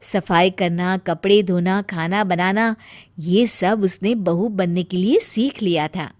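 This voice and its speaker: A woman's voice: on the low side, takes it slowly, a little mellow, no room sound. A woman narrating a scripted cartoon family story.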